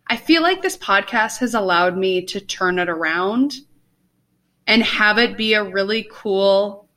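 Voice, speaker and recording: Bright-voiced young woman, chatty podcaster. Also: mid-range voice, measured pace. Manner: rambling, lots of fillers and laughs. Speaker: podcast co-host. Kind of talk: casual chat. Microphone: video call.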